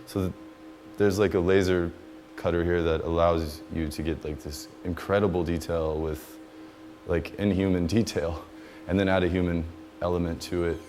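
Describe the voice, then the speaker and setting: A man who is an artist and musician talking casually about his own work in a halting, loose, informal way. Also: deep voice, level tone, noisy background.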